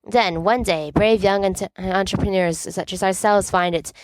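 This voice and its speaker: A woman playing a dramatic, mischievous teenage boy in a storybook reading, with an excitable, playful delivery. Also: medium pitch, moderate pace, dry recording, close to an everyday voice, poor audio. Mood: eager, playful.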